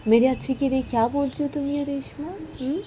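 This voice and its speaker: A woman's voice: high voice, steady tone, a little fast, warm tone, slight background noise, close to an everyday voice. A woman voicing a possessive young woman in love who presses with repeated questions. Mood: insistent.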